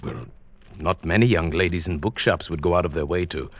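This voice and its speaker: An actor playing a henpecked, mild older professor in an old-time radio drama, with a hesitant, formal, polite manner. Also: male voice, sits low, a little fast. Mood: shy, flattered.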